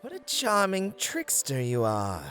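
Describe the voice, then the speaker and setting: A man's voice: high voice, huge pitch swings, a little slow, sharp tone, soft background music, voice pushed up, exaggerated voice. A man voicing an enchanted, elegant satyr in a fantasy roleplay, with a grandiose, gushing, theatrical manner. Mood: entranced, flustered. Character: enchanted, elegant male satyr, delighted by magic tricks.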